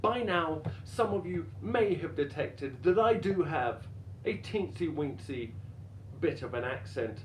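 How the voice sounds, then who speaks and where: Slow, deliberate deadpan man in a roomy space. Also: on the high side. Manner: deadpan, self-deprecating, deliberate pauses. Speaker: stand-up comedian. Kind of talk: dramatic reading of a stand-up routine. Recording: roomy echo with faint background noise.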